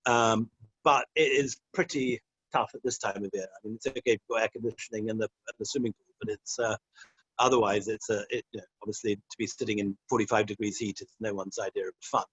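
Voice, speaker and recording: A man's voice: lowish voice, steady tone, fast, a bit bright, breathy edge, no room sound. Wry Scottish-born man on a dull line. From Scotland. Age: middle-aged. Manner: wry, rambling, self-deprecating, laughs often. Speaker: guest. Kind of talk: casual interview answers.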